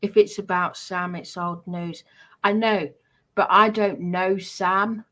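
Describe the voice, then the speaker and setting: A woman's voice: mid-range voice, steady tone, slow pace, a bit bright. A British woman streamer talking casually and unscripted, in a defensive, insistent manner. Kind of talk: casual unscripted livestream talk.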